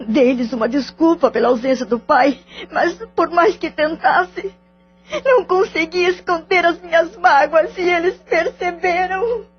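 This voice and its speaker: A woman's voice: very high voice, measured pace. An actress playing a betrayed wife and mother, speaking through tears in a radio drama. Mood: tearful. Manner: tearful, pleading, wounded. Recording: dull lo-fi audio with faint music.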